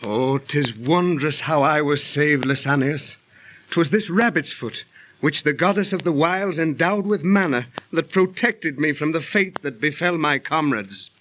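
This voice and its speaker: An actor playing an earnest, awestruck traveler of ancient Greece, grave and declamatory in 1930s radio style. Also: male voice, fairly high pitch, unhurried, fairly bright tone, slight background noise, low-quality recording. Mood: awed. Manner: grave, declamatory, archaic speech.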